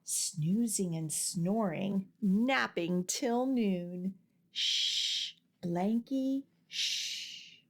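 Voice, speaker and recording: A woman reading a children's picture book aloud with expressive, deliberate and rhythmic phrasing. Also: mid-range voice. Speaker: children's storytime reader.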